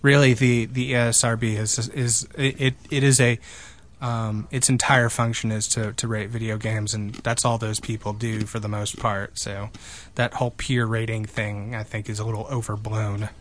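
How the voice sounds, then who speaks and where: A man's voice: lowish voice, level tone, normal speed. A man co-hosting a gaming podcast in a casual, conversational style. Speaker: podcast co-host.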